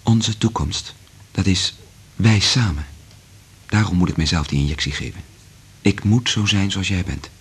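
An actor playing an earnest, resolute scientist in love in a radio play, speaking in a measured, intimate way with a husky voice. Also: male voice, deep voice, exaggerated voice. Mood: earnest, pleading. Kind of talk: Dutch radio play acting.

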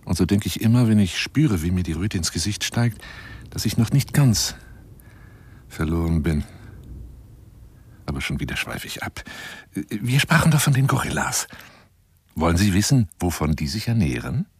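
An actor playing a garrulous, wooing lion hunter in a radio play monologue, theatrical and coaxing, rambling between exclamations and whispers in a husky, gravelly voice. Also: male voice, sits low, sing-song, moderate pace, pauses often, exaggerated voice. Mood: bashful, musing, then chatty, teasing. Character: garrulous, self-styled lion hunter wooing a woman by telephone.

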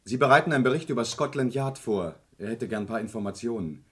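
Deferential male police sergeant, lively intonation acted. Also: medium pitch, quick pace, lightly acted. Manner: formal, deferential. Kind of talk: 1970s German radio crime drama acting.

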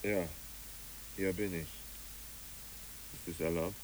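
An actor playing a brooding, blunt man who killed his comrade, speaking tersely and gravely in a literary radio play. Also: sits low, fairly even intonation, slow pace, darkish tone, low-quality recording. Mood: quiet, wistful. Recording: hissy old radio recording.

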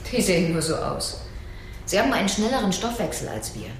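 An actress playing an earnest, defensive scientist, explaining insistently in a radio drama. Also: female voice, fairly low pitch, big pitch swings, brisk, faint music behind. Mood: matter-of-fact. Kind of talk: German radio drama acting.

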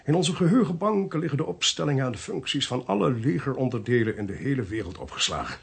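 A man acting in a radio drama, playing a sardonic, authoritative investigator with a dry, commanding, probing manner and a creaky voice. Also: mid-range voice, sing-song, fast talker, exaggerated voice. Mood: needling. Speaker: actor. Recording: faint hiss on radio play recording.

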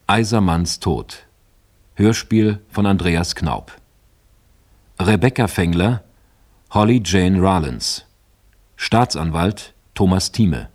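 A man announcing radio play credits in a sober, deliberate, scripted style. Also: low voice.

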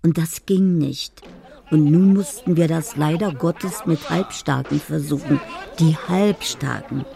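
An actress playing a dreamy older woman who speaks poetic letters and memories in a measured radio drama monologue. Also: deep voice, creaky, a crowd in the background, full character voice. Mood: reminiscing. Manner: slow, poetic, measured delivery.

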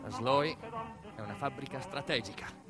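A man performs a dramatic storytelling monologue for radio theatre, emphatic and full of deliberate pauses. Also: mid-range voice, music in the background. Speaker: actor-narrator of a theatrical monologue.

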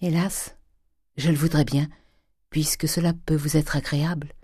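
A woman storyteller gives voice to a gentle mother on her deathbed. She speaks weakly and plaintively, sighing through a storybook scene in a dry recording. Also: very deep voice, level tone, racing, slightly rough, dry recording. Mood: weary resignation. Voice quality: weak, faint voice. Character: frail, dying, gentle mother, an anxious wife.

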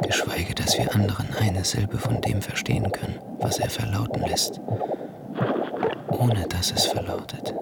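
A man's voice: on the low side, fairly even intonation, measured pace, gravelly. An actor playing a bemused man who marvels privately in a confiding whisper, in a German radio drama. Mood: bemused, hushed.

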